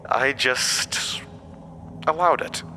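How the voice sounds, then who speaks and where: A man's voice: mid-range voice, extremely slow, background noise. An actor playing a mysterious, mocking, weary undying male entity in a horror audio drama, speaking in theatrical, cryptic asides. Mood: exasperated, mocking. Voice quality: broken-windpipe voice, borrowed from another man.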